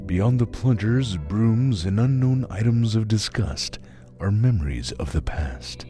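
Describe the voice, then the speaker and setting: A man's voice: lowish voice, animated, a little slow, warm tone, soft background music. A man playing an ominous horror anthology announcer, delivering a theatrical scripted introduction. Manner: portentous, theatrical. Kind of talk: dramatic scripted intro narration.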